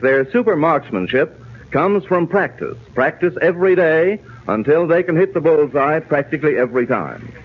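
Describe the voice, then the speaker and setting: A man's voice: a little high, slightly rough. An American man reading a persuasive wartime radio appeal in a direct, exhorting 1940s announcer style. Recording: tinny 1940s radio recording.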